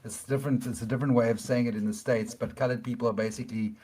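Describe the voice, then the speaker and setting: A man's voice: mid-range voice, steady tone, mellow, slightly rough. A middle-aged man with a Cape South African accent, a guest explaining things in an interview, often restarting and checking in with "okay?". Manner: halting, many restarts, tags points with "okay?".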